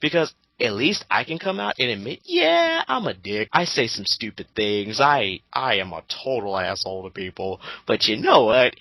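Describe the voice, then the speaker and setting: A man's voice: lowish voice, sharp tone, no echo, poor audio. A 22-year-old man giving a casual, sweary rant as a commentator, abrasive and mocking.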